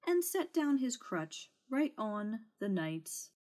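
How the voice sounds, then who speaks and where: A woman narrating a children's storybook aloud in an expressive read-aloud style. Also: a little high, slow. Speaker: storybook reader. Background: music.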